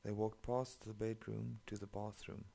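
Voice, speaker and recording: A man's voice: lowish voice, level tone, normal speed, gravelly, mellow. A man, not an English speaker, reading online stories aloud and breaking in with sarcastic, profane commentary. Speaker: story reader.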